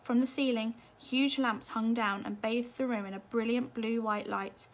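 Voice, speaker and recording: A woman's voice: a little high, fairly even intonation, normal speed. A woman narrating a children's storybook expressively, as a teacher reading at bedtime.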